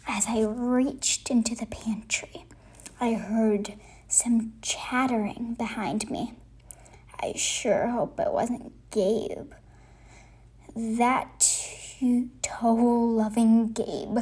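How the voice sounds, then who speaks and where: A woman reading a children's story aloud, narrating expressively with dramatic, deliberate phrasing. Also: a little high, fairly even intonation, a little mellow, husky. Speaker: storybook reader.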